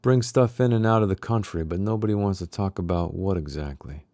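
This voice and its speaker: A man reading a novel aloud as a secretive, troubled man in hiding, low-key and guarded as he asks favors. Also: brisk, darkish tone, no echo, studio quality, natural voice. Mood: quietly earnest.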